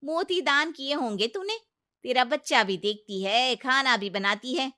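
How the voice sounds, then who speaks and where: A woman's voice: high-pitched, measured pace, pushed and bright. A woman playing an effusive, nosy older auntie in a story, gushing and emphatic. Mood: gushing, teasing. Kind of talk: acted storybook dialogue.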